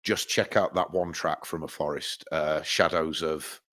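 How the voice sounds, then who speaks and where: A man with a low, deep voice, stuffed up from hay fever and chatting loosely as a podcast co-host. He wanders through his points, restarting sentences and swearing casually. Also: steady tone, unhurried, a bit bright. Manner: rambling, swears freely, many restarts. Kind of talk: casual chat.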